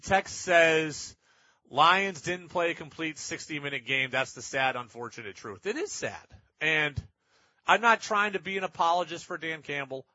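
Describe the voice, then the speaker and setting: A man with a high-pitched, forceful voice hosts sports talk. He argues emphatically and circles back to hammer his points. The sound is dry and close, a little dull in fidelity. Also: animated, moderate pace, dry recording. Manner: emphatic, repeats points for effect. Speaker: sports talk show host. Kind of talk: opinionated sports talk commentary.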